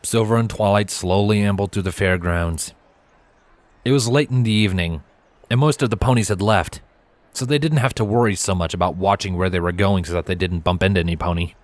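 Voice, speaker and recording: A man's voice: sits low, brisk, no room sound. A man reading a story aloud as narrator, in scripted storybook narration. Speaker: narrator, fan fiction reader.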